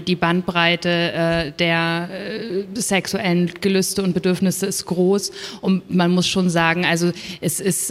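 A woman author talking conversationally and thoughtfully in a panel discussion, often adding little tag questions. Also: fairly low pitch. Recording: reverberant hall at book fair.

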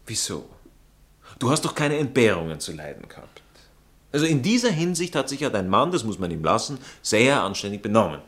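An actor playing a prim, jealous aristocratic fiancé with an Austrian accent, drawling and indignant in a satirical stage comedy. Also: male voice, medium pitch, very animated, a little fast, fairly bright tone. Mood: reproachful, probing. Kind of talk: stage comedy acting in a radio play manner.

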